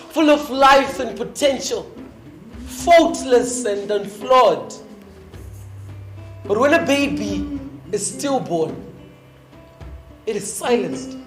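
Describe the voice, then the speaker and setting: A woman performing a theatrical spoken-word monologue as a solemn, impassioned narrator, with deliberate dramatic phrasing. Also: fairly high pitch. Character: solemn, impassioned young woman narrator decrying youth suffering. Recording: echoey stage hall, music underneath. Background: music.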